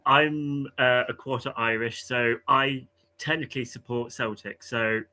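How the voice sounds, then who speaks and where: A man's voice: medium pitch, steady tone, unhurried, bright, forceful tone. A man calling in to a fan show, enthusiastic and thinking aloud as he talks. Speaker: caller. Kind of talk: casual fan chat.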